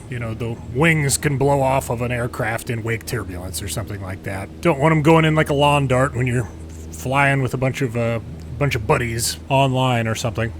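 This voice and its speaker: An American man giving casual, sardonic game commentary with exasperated asides. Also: mid-range voice. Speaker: gaming video host, pilot and former air traffic controller. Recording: steady background hum and noise.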